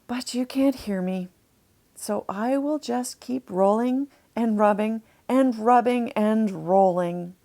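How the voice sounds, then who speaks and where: Storybook manatee played by a woman: gentle, sorrowful, earnest, high-pitched and very unhurried. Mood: forlorn. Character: gentle, sad, itchy male manatee, earnest and hopeful.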